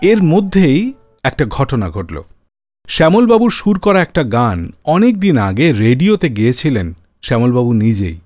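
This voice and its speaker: A man hosting a radio show, telling a story in scripted narration and dropping his voice low on key names for drama. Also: a little mellow, no room sound.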